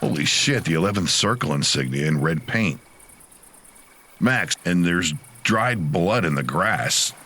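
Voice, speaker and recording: An actor playing a casual, concerned demon hunter in an audio drama, talking like a friend. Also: male voice, deep voice, expressive, measured pace, sharp tone, slightly rough, exaggerated voice. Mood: alarmed. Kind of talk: natural conversational audio drama acting.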